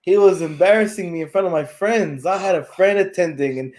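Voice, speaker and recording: A hoarse young man co-hosting a sports podcast, talking about his team like an excitable, yelling fan. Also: high voice, fairly quick. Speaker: podcast co-host.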